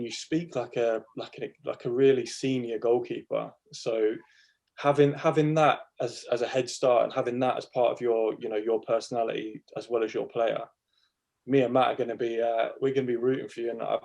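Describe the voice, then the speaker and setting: A man's voice: medium pitch, vocal fry, a little mellow. A man co-hosting a podcast, talking in an encouraging, earnest way on a video call. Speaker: podcast co-host. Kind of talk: podcast interview chat.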